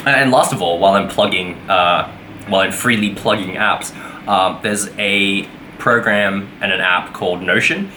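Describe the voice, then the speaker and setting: A man's voice: deep voice, moderate pace, bright, forceful tone, slight background noise. A young man giving a casual weekly vlog update, rambling with lots of fillers and self-corrections. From Australia. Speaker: vlogger.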